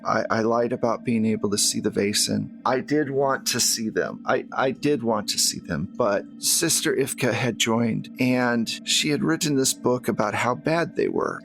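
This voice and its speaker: A man voicing a confused, regretful nun in a tabletop game, speaking haltingly as if piecing memories together, with music behind. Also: medium pitch, gravelly. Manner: halting, faltering with repeats.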